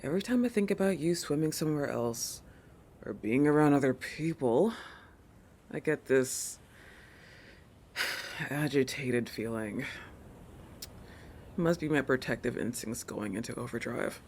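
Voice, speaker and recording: A woman's voice: a bass voice, a little slow, pauses often, a little mellow, slight background noise. A woman voicing a proud, possessive young shark girl in a roleplay monologue, with a haughty, teasing manner that keeps correcting itself. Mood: possessive, coy. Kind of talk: roleplay audio monologue addressed to the listener.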